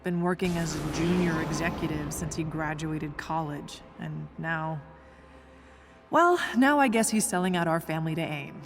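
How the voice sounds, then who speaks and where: Low-voiced young scientist, dry and composed. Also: female voice, moderate pace, music in the background. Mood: dry, troubled. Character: young woman scientist ally, tough, smart, dryly composed. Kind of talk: video game voice acting, naturalistic dialogue.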